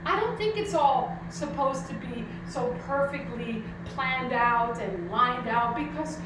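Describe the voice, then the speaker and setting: High-voiced woman preaching in an echoey room. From Netherlands. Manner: conversational, reflective, addresses listeners with questions. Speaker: pastor. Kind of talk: informal spiritual sermon to a congregation. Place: church service hall, indoors. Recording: echoey room, distant mic, clearly noisy.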